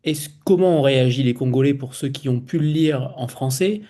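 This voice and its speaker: A man's voice: medium pitch, moderate pace, mellow. A man hosting a literary interview, asking long, multi-part questions and reading out a quote from the book.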